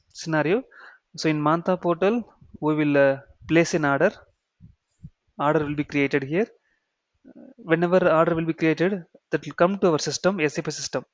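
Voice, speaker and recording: A man with an Indian accent giving an explanatory software tutorial, repeating key phrases and checking in with "okay" and "right". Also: fairly high pitch, moderate pace, long pauses, dry recording. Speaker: software trainer. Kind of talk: explanatory screen-share tutorial. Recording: dull, muffled lo-fi sound.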